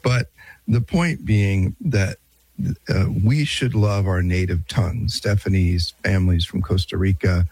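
A man's voice: low voice, a little slow, a little mellow, slightly rough, dry recording, rough recording. A man hosting a radio show, moving from the interview into a break and a friendly, conversational promo.

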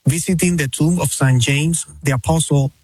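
A man reading a devout invitation to a pilgrimage, measured and slowing on key phrases. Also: a little high, fairly even intonation, a little mellow, husky. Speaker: pilgrimage promoter. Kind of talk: read promotional invitation.

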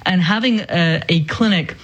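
A woman with a lower female voice co-hosting a news commentary show, chatty and quick to laugh between points. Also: lo-fi sound.

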